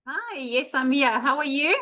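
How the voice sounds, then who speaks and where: A South African woman speaks in a fairly high voice over a muffled, lo-fi video call. She tells her story in a chatty, conversational way, with plenty of "um" fillers. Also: lively intonation, normal speed. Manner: chatty, frequent "um" fillers, laughs between phrases. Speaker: guest speaker. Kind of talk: webinar testimonial. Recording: muffled lo-fi online call audio.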